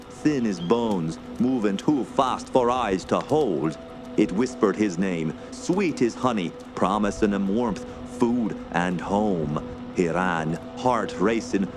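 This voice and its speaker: A man's voice: low-pitched, background noise. A man playing a moody, superstitious French-Canadian wilderness guide in a radio drama, speaking in an ominous storyteller's manner. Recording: dramatic music behind.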